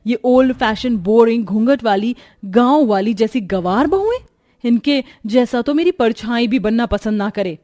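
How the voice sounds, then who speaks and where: Spoiled young cartoon bride, arrogant and snooty, sprinkles in English, highish voice, dry close mic. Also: female voice, lively intonation, a little slow, mellow, dry recording. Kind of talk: cartoon voice acting.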